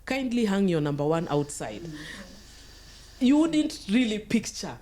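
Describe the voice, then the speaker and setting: A woman's voice: mid-range voice, big pitch swings, unhurried, crowd noise. A middle-aged woman speaking as a podcast guest, giving conversational advice emphatically and repeating phrases for stress.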